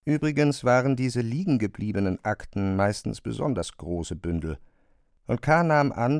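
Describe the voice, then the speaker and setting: A man narrating a literary radio drama in a measured, precise way, as a composed observer. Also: mid-range voice, a little mellow, dry recording, clean studio sound, lightly acted. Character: male narrator of an absurd bureaucratic tale, observant and composed.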